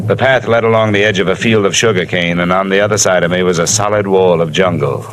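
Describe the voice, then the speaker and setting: An actor playing a wisecracking insurance investigator who narrates a 1950s radio drama in a dry, hard-boiled way. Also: male voice, sits low, fairly even intonation, fast, a bit bright, faint music behind, rough recording. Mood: wry. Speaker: narrator and lead.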